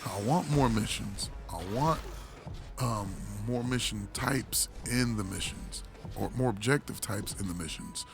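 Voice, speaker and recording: A man with a hoarse voice, recovering from illness, giving a casual, rambling game review full of restarts and laid-back slang. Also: lowish voice, measured pace, over music.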